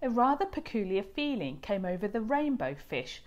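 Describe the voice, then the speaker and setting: A woman reading a children's storybook aloud in expressive scripted narration. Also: medium pitch, normal speed, dull audio.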